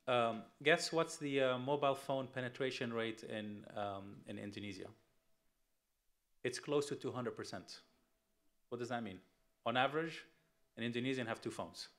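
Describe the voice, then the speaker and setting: A man's voice: medium pitch, a bit bright. A middle-aged man from Tunisia, an investment firm chief speaking on a conference panel, emphatic, asking rhetorical questions with frequent fillers.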